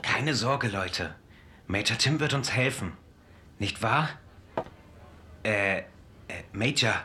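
A man's voice: on the low side, lively intonation, takes it slowly, sharp tone, a little hiss or hum, exaggerated voice. A man playing a confident starship captain in a German sci-fi audio drama, commanding his crew in a casual way. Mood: reassuring.

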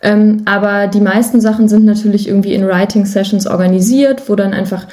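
Casting: female podcast guest, warm mellow timbre, medium pitch, thoughtful conversational explainer. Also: fairly even intonation, brisk. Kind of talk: casual conversational interview answers.

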